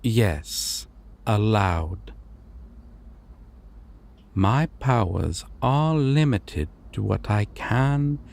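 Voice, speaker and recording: A man playing a courteous, solemn god of dreams in a fantasy audio roleplay, with formal, deliberate phrasing. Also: on the low side, animated, vocal fry, darkish tone, exaggerated voice. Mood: calm, explanatory.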